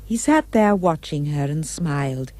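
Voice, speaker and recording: Animated female storyteller. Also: low-pitched, unhurried. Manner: theatrical. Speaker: narrator. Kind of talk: expressive rhyming storybook narration for a puppet show.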